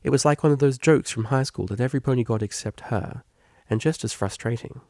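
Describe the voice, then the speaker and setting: A man reading scripted fiction aloud as a narrator, with a creaky voice. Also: medium pitch, brisk, a little mellow, no room sound, studio quality. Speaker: audiobook reader.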